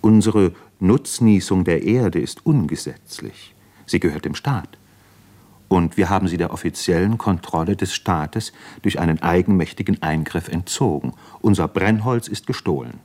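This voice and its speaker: A proud, weary doctor and writer in a 1950s German radio drama. His voice is clearly low, and he talks in an earnest, contemplative, educated way. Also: moderate pace, dark tone. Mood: reflective.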